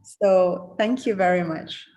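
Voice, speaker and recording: Slow, halting woman from Singapore. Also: on the low side, dark tone. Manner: hesitant, many fillers and restarts. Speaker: keynote speaker, alumna. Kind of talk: reunion speech and Q&A answers.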